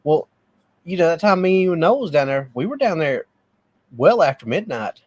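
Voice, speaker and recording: A man's voice: fairly high pitch, lively intonation, normal speed, a little mellow. A man co-hosting a podcast in casual, laid-back chat. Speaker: podcast co-host.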